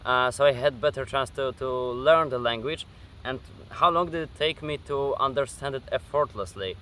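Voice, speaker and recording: A man's voice: mid-range voice, normal speed, bright, forceful tone, slight background noise. An eighteen-year-old man with a Polish accent, answering viewer questions outdoors in a casual vlog, hesitant with many fillers and restarts. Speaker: gaming YouTuber. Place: outdoors at dusk. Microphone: camera facing him.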